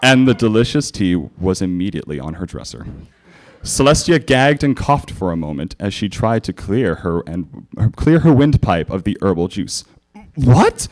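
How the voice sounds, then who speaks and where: A man's voice: sits low, sing-song, moderate pace. A man hosting a live comedic reading of fanfiction, amused and stumbling over the text at a convention panel. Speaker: fanfiction reader, panel host.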